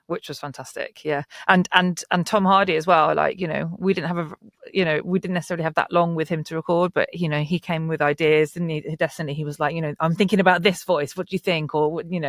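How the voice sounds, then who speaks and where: A man with a fairly high voice and a noticeable creak in it, recorded close and dry. He talks in a casual panel style, enthusiastic and rambling as he explains his work as a producer. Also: fairly bright tone, no room sound. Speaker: audio drama producer discussing casting.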